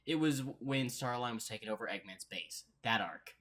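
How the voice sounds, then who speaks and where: A man's voice: medium pitch, lively intonation, moderate pace, a bit bright, slight background noise. A man hosting a reaction video with casual, rambling commentary, easily amused.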